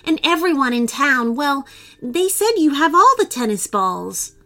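A woman voicing a polite, determined young girl in a storybook reading, earnest and bright. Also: high-pitched, sing-song, measured pace, pitched above the performer's own voice, full character voice.

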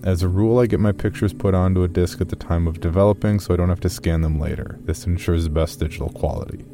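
A man playing an earnest, guarded amateur caver, narrating his journal in a measured, matter-of-fact way for an audio horror drama. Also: sits low, steady tone. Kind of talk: first-person scripted horror narration.

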